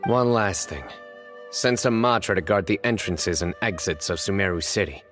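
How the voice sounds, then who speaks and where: A stern young male judge who reasons through clues calmly. His voice sits slightly low and steady. Faint game music plays underneath. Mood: deductive. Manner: measured, deductive, calm.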